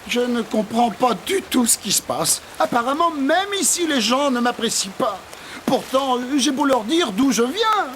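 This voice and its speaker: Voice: sits high, big pitch swings, unhurried, a bit bright, a little hiss or hum, exaggerated voice. An actor voicing a vain, self-important male dung beetle in a cartoon, with pompous, theatrical, courtly delivery. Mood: puzzled, scheming. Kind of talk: animated cartoon voice acting.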